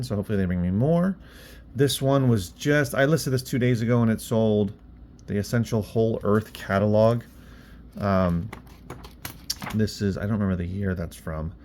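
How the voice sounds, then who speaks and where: A man's voice: lowish voice, darkish tone. A man chatting casually in a vlog about his own work, offhand and thinking aloud as he goes. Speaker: online reseller and bookstore owner, vlog host. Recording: clear background noise.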